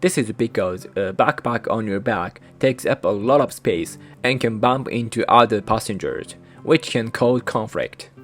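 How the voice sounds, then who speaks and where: A man with a Japanese accent, a native Japanese speaker, hosting a channel and narrating a scripted guide in even, careful short phrases. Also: lowish voice, unhurried, hardly any pauses, faint music behind. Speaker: channel host.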